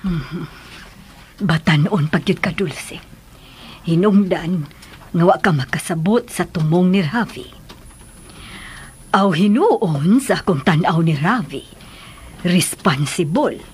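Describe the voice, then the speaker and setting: An actress playing a concerned madam advising a younger woman in a radio drama, measured, with a Cebuano Filipino accent. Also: on the low side, a little mellow, slight background noise. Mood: probing, thoughtful.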